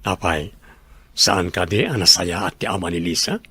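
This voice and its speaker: A man's voice: low voice, expressive, normal speed, bright, forceful tone, husky, dry recording, exaggerated voice. A man with an Ilocano Filipino accent playing a friendly, inviting uncle in an audio drama. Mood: persuading.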